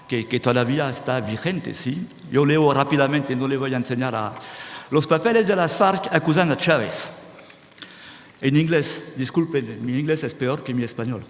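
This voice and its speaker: Guy giving a talk, keeps checking "¿sí?", echoey big room. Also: medium pitch. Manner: animated, restarts words, checks with "¿sí?". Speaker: speaker at a conference. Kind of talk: lecture-style talk to an audience. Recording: reverberant hall, faint noise.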